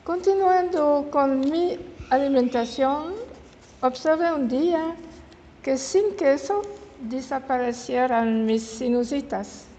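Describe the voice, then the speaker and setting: A woman in her seventies with a French-speaking Swiss accent addresses a room in a fairly high voice, picking her words very slowly and often correcting herself. Her voice carries a long hall reverb over faint room noise. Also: warm tone. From Switzerland. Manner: halting, searches for words, corrects herself mid-word. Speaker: guest speaker. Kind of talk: informal public talk. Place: meeting hall in an old house.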